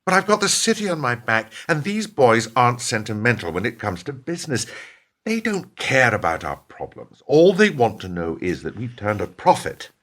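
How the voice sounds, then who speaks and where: A man's voice: medium pitch, very animated, moderate pace, fairly bright tone. A man acting in a radio play as a pragmatic, evasive company boss, guarded and self-justifying. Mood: defensive.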